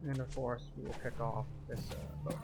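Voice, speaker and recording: A man's voice: mid-range voice, flat delivery, moderate pace, creaky, very noisy. A man giving methodical, instructional commentary as the tour guide of a video game walkthrough. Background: game music.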